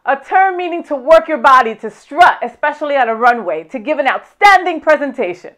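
A woman's voice: high voice, animated, fairly quick, a bit bright. A woman in her thirties hosting a web show from a small studio set, reading out definitions and giggling with her guest. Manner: upbeat, giggly, reads definitions aloud. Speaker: host. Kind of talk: hosted web show segment.

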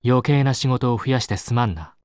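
A medium-pitched male voice from an actor as a calculating game strategist. He is clipped and authoritative, giving cool orders in a dry, close recording. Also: brisk, a little mellow, clean tone, no echo, natural voice. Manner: clipped, matter-of-fact, authoritative. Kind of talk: Japanese video game voice acting.

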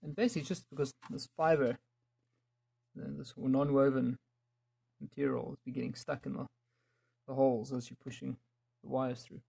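A man giving casual hands-on DIY commentary, muttering and thinking aloud as he works, with a gravelly, slightly husky voice. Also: mid-range voice, steady tone, normal speed, mellow. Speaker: DIY video maker. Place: indoors in a home room.

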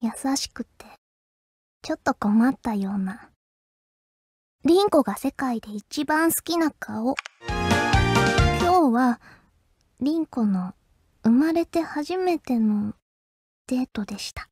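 A woman's voice: sits high, lively intonation, takes it slowly, pauses often, husky, full character voice. An actress playing a possessive, standoffish teenage girl with a hidden sweetness in an anime-style game. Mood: tender. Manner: curt, coy, refers to herself by name. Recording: loud game music behind the voice.

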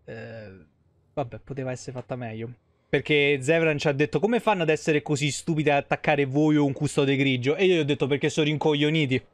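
A man's voice: fairly high pitch, brisk, no echo. A man in his thirties giving casual, chatty live gameplay commentary in an informal way. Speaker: game streamer. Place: small indoor room.

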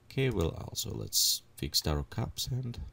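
Low-voiced man thinking aloud in tutorial. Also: big pitch swings, vocal fry, pushed and bright. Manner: unhurried, thinks aloud while clicking through settings. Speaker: software tutorial instructor. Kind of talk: screen-recorded software tutorial.

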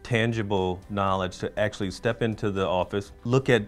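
A man in his forties with an American accent speaks confidently as an MBA student. His voice is slightly low, with faint music underneath. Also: fairly even intonation, moderate pace. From United States.

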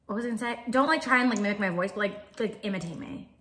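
Young woman co-host, bright tone, playful and confident in casual talk. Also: mid-range voice, racing, a little hiss or hum. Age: young adult. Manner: playful, self-assured. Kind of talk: casual chat. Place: living room at night.

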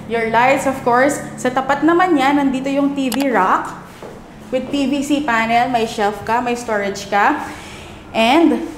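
Young filipina accent lady doing a chatty house tour, highish voice, echoey empty room. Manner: chatty, enthusiastic, mixes Tagalog and English. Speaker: property tour host. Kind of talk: casual house tour presentation. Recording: echoey empty rooms, slight background noise.

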